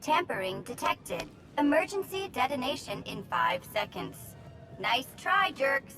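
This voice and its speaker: An actress playing a mischievous demolitions prankster in a video game, theatrical, teasing and playful. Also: female voice, sits high, big pitch swings, measured pace, bright, forceful tone, soft background music, exaggerated voice. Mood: mock menacing. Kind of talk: video game cutscene acting.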